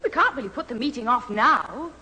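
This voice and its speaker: A woman's voice: fairly high pitch, expressive, brisk, bright, forceful tone. A woman playing an earnest, impatient young granddaughter in a radio sitcom. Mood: exasperated. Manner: pleading, exasperated. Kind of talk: 1970s radio sitcom acting. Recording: reverberant radio show, audience noise.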